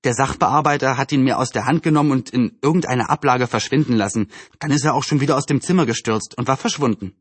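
A man's voice: mid-range voice, quick pace, hardly any pauses, breathy edge, no room sound, lightly acted. A man voicing a cheerful, chatty young girl with a teasing, eager manner in a storybook reading.

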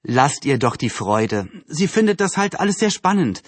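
Storybook mother, read by a man: mild, patient, explanatory, slightly weary, husky edge. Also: fairly high pitch, expressive, fairly quick, no room sound.

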